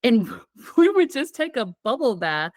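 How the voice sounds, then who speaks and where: A woman co-hosting a casual comedy podcast, with a slightly raspy voice from a sinus infection, rambling and giggling as she tells stories. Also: fairly high pitch, very animated, unhurried, a little mellow, dry recording, clean studio sound. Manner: giggly, rambling, playful storytelling. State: getting over a sinus infection. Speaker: podcast co-host.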